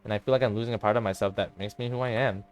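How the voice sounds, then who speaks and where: A man's voice: on the low side, fairly even intonation, very fast, faint music behind, natural voice. A man playing a despairing teenage girl club president, subdued, hesitant and self-blaming, in a visual novel drama. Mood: resigned.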